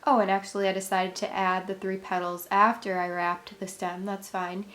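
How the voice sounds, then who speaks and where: A woman's voice: mid-range voice, level tone, normal speed, music in the background. Congested woman explaining a craft. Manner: chatty, friendly step-by-step instructions. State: congested, voice a bit worn. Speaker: craft channel host. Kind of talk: casual craft tutorial.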